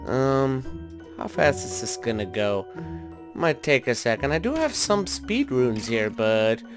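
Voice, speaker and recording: A man's voice: medium pitch, big pitch swings, a little slow, music in the background. A man hosting a gaming video, giving casual commentary and thinking aloud in an informal way as he plays. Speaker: host of a gaming video series.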